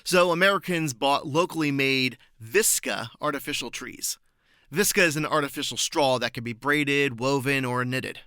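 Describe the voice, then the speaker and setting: A man giving prepared, articulate answers as an interview guest, informative and measured in his phrasing. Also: mid-range voice, pushed and bright, smooth, no room sound, studio quality.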